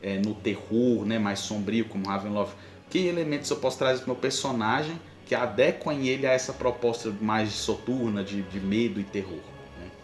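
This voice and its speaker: Brazilian male podcast host, informal and explanatory, echoey room sound, soft music bed. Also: on the low side, normal speed. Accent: Brazilian Portuguese. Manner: explanatory, informal, addresses the listener directly. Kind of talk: conversational advice with examples.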